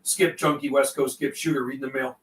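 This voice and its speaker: A man's voice: mid-range voice, little pitch movement, brisk. An older man, chatting casually and calling out as a CB radio operator on a livestream, in a folksy way with repeated radio phrases. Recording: roomy, distant mic, faint noise.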